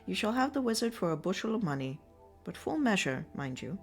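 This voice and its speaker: A woman's voice: medium pitch, very animated, fairly quick, faint music behind. A woman voicing a sly, cheerful peasant man who grumbles for show while he bargains. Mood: bargaining. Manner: scheming, mock-complaining.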